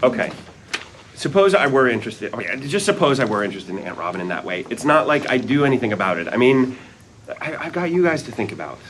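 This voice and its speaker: A man's voice: medium pitch, very animated, quick pace, a bit bright, slight background noise. An actor at a script read playing a middle-aged father who banters with his kids and gets flustered.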